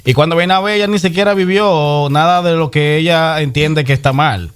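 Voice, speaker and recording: A man's voice: a little high, clear, resonant tone, soft background music. A man hosting a casual morning radio show, joking and cutting in often. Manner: joking, quick to interject.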